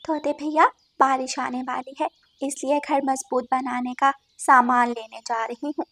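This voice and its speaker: A woman voicing a patient mother sparrow in a cartoon, speaking softly and reassuringly. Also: sits high, level tone, a little slow, no room sound, full character voice. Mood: calm. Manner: soft, reassuring.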